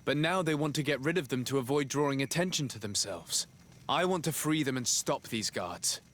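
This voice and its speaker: An actor playing a composed, decisive diplomatic envoy in a video game, speaking in a formal, measured way. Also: male voice, mid-range voice, expressive, fairly bright tone, a little hiss or hum, close to an everyday voice. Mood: earnest, resolute. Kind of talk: scripted video game acting.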